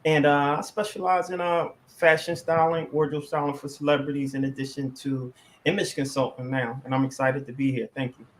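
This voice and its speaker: A man's voice: fairly high pitch, little pitch movement, fairly quick, slight background noise. A man from Philadelphia in the US, a fashion stylist on a video-call panel, speaking casually with a few uh fillers.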